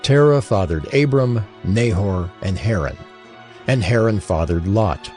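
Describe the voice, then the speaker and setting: Male narrator for scripture, lowish voice, formal and measured, wide intonation, soft music beneath. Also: animated. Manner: solemn, measured, formal reading. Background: faint music.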